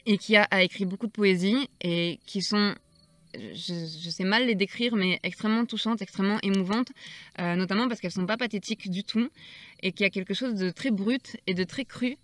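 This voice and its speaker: A thoughtful woman speaks in a middle-pitched voice, feeling her way through her ideas about poetry in a gentle, reflective monologue. The sound is dry and close, with no room around it. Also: a little fast, dry recording. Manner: thoughtful, searching for words, soft-spoken. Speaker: poetry channel host.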